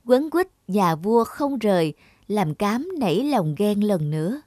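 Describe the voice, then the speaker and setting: A woman's voice: medium pitch, very animated, unhurried, dark tone, no echo, clean studio sound. A woman narrating a fairy tale from a script as storyteller. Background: music.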